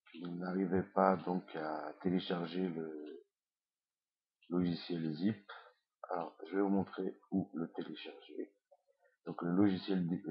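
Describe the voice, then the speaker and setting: A deep, monotone man with a head cold walks through a screencast lesson, hesitating often with fillers. His voice is husky and comes through a dull, lo-fi computer mic. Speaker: online course instructor. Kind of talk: screencast tutorial.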